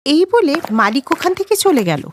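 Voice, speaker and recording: A woman's voice: high voice, sing-song, moderate pace, slight background noise. A woman reading scripted narration for a story, as a storyteller.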